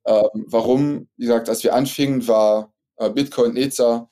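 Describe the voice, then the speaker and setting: Luxembourgish man with a mellow voice. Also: medium pitch, fairly even intonation. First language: French. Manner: halting, many fillers and restarts. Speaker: startup founder guest. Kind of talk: casual podcast interview.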